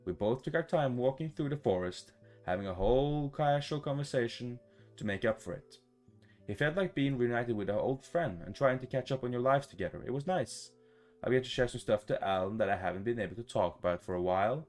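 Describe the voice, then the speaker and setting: A man's voice: mid-range voice, fairly quick, darkish tone. A man reading a visual novel aloud as a gaming YouTuber, stumbling over words now and then and adding his reactions. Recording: music behind the voice.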